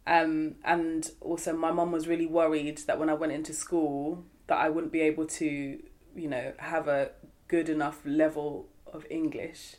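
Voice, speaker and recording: A woman's voice: sits low, level tone, normal speed, few pauses, darkish tone. A woman from Zimbabwe chatting casually on a podcast, self-mocking and often laughing as she talks. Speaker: podcast co-host. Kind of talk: casual group chat.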